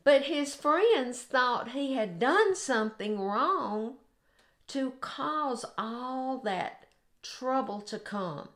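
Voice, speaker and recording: An older woman with a Southern US accent, sharing an informal devotional in an earnest, deliberate way. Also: high-pitched, expressive, a bit bright. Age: 60s or older. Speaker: host of a devotional video. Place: home kitchen, indoors.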